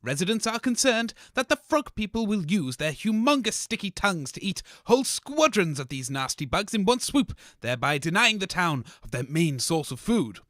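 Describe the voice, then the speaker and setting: A man playing a grumpy, excitable local radio host in a comedy show, reading news and bantering. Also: high voice, normal speed, hardly any pauses, no room sound, clean studio sound. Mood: mock-serious. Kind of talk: comic radio acting as newsreader and banter.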